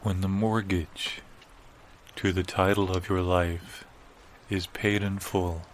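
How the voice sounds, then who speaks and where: A man in improvised audio comedy playing a solemn, pseudo-profound sage, delivering tangled wisdom deadpan with weighty pauses. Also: deep voice, slight background noise. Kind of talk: improvised audio comedy, mock-meditative pronouncements.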